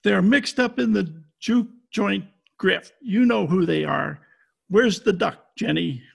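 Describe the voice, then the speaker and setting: Male actor as hardboiled radio detective: husky, heavy fry, clipped slangy delivery, wisecracking. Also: sits high, slow pace, heavily acted. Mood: hard, pressing. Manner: clipped slangy tough talk. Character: tough, wisecracking hardboiled private detective, adult man. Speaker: amateur radio play actor. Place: at home, recorded over video call.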